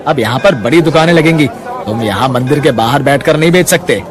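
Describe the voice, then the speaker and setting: A man's voice: mid-range voice, fairly quick, crowd noise, close to an everyday voice. A man doing a rude bullying shopkeeper. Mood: bossy. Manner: harsh, shouting.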